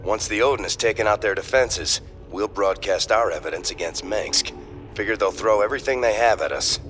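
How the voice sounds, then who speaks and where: An actor playing a determined rebel military leader in a video game, businesslike and confident. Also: male voice, lowish voice, a little fast, fairly bright tone, slight background noise, natural voice. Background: game music.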